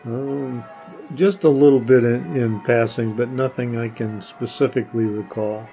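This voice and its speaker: A man's voice: medium pitch, steady tone, a little slow, vocal fry, mellow, soft background music, poor audio. A man answering questions as a podcast guest in casual, plain-spoken, brief replies.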